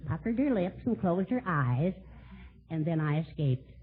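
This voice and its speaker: A man's voice: on the high side, very animated, measured pace, very noisy. A man playing a meek, henpecked little fellow in 1940s radio comedy, fussy, timid and hesitant. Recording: tinny 1940s radio broadcast, studio audience.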